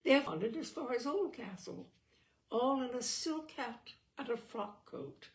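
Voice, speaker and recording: Female storyteller, higher-pitched with some creak, expressive and theatrical delivery of comic literary fiction. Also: a little slow. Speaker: storyteller, audiobook reader.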